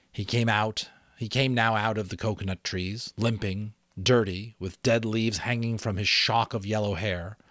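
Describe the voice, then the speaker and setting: A man hosting and narrating a book aloud, theatrical and expressive, with casual asides. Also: on the low side, no echo, studio quality. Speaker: audiobook reader and host.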